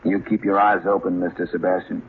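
An actor playing a wary, plain-spoken small-town sheriff in an old radio drama, with a folksy, clipped manner. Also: male voice, deep voice, little pitch movement, brisk, lightly acted. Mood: wary, serious. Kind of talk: 1950s radio drama acting. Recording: noisy, tinny vintage radio recording.

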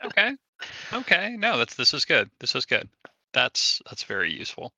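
A man's voice: mid-range voice, huge pitch swings, moderate pace, sharp tone, no echo. A man playing a tabletop roleplaying game, talking casually and thinking aloud as he rephrases his ideas.

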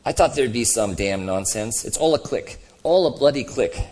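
A man's voice: medium pitch, lively intonation, brisk, a little mellow, pitched above the performer's own voice, lightly acted. A man voicing a sulky, belligerent ghost of a working-class boss in a storybook reading, blustering and self-righteous. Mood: bitter, defiant.

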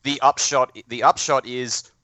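A man's voice: mid-range voice, fairly even intonation, unhurried, bright, forceful tone, no room sound. A man chatting casually, often correcting himself mid-sentence and swearing now and then. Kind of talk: casual chat.

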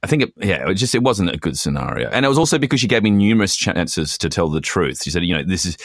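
Low, dry-recorded man, wryly hesitant. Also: big pitch swings, fast, no echo. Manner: hesitant, self-justifying, wry. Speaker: podcast co-host. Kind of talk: casual comic banter.

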